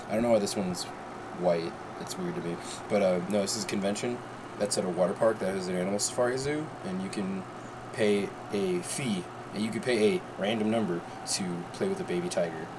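A man casually rambling through a show-and-tell vlog at home, excitable, with sudden high squeals. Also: noisy background. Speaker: vlogger.

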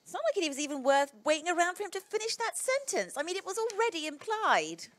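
A woman reading her part in a live radio play, pitched fairly high with a lively, swinging delivery. She sounds dry and sardonic, wearing a headset mic. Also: fast talker. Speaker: radio play cast member.

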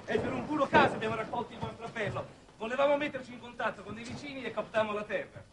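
Fast, high-voiced male Venus settler. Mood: matter-of-fact. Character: plain, reluctant settler of Venus pressed into diplomacy. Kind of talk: 1960s Italian television drama acting. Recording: distant, noisy old television soundtrack.

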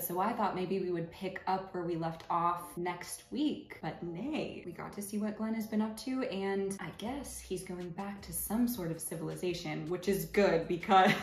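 A woman, giving casual, gushing reaction commentary as a video host. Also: medium pitch. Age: 20s. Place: furnished living room indoors. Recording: roomy living room sound.

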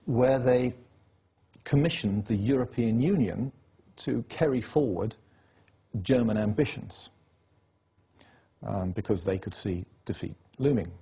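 A man's voice: medium pitch, a little slow, vocal fry, long pauses, warm tone, slightly rough. A man being interviewed, laying out his case insistently and reeling off dates and facts. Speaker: interview guest. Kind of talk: political interview.

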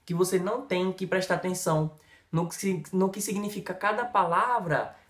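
A Brazilian man in his thirties with a Brazilian Portuguese accent, an English teacher giving an emphatic, energetic lesson straight to the camera. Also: high voice, normal speed, echoey room. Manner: lively, emphatic, talks straight to the viewer with many gestures. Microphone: camera in front, head and shoulders framing.

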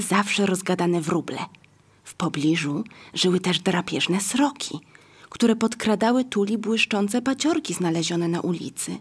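A woman narrating a scripted children's story in a breathy, husky voice. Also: mid-range voice.

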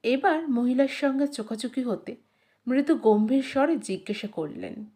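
A woman reading a literary story as an audiobook narrator, in a measured, expressive dramatic style. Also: high-pitched.

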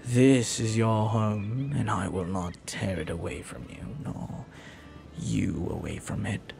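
A man playing a flirty, lovelorn pirate captain in a romantic audio roleplay, speaking softly and earnestly with hesitant, lingering pauses. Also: fairly low pitch, fairly even intonation, creaky, faint music behind. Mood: earnest, longing.

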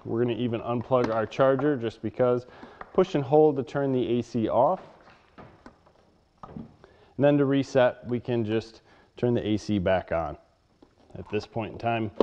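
A man walks through a product demonstration in a plain, matter-of-fact way. His voice is mellow and mid-pitched. The recording sounds muffled and carries a long reverb from the workshop around him. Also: a little slow, long pauses, slight background noise. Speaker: product reviewer. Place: workshop with a workbench.

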